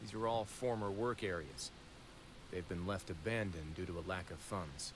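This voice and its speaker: A man playing a composed, stern gentleman of authority in a video game, with a formal, measured manner. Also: sits low, noisy background, natural voice. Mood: calm, alert.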